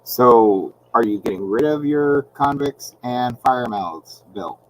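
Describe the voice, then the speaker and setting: A man hosting a casual aquarium livestream, talking in a calm, relaxing, laid-back way with frequent ums. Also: mid-range voice, slow, far from the mic, slight background noise, poor audio. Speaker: aquarium channel host.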